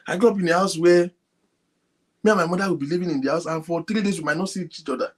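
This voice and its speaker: Man, breathy voice, soft-spoken, casual talk to an audience. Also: high-pitched, fast talker. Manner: speaks softly, animated, addresses viewers directly. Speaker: online show host. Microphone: phone linked to a computer.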